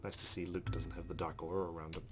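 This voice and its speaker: Man, lowish voice, let's play host, reading visual novel text aloud, casual commentary, clear game music behind. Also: little pitch movement, fast.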